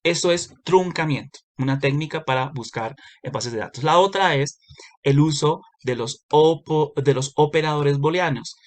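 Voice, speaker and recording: A man giving a recorded lecture, explaining step by step with frequent pauses and self-corrections. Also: on the high side. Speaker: university lecturer.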